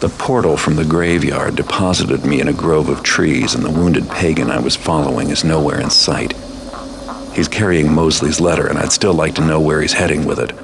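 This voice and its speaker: An actor playing a cynical, world-weary master thief in a video game, narrating in a deadpan, brooding noir style. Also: male voice, extremely low pitch, moderate pace, noisy background. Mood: grim, focused. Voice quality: gravelly.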